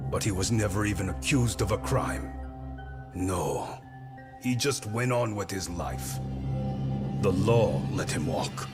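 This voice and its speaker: An actor playing a bitter, defiant grieving father on trial, with an American accent, in a video game dub. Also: low voice.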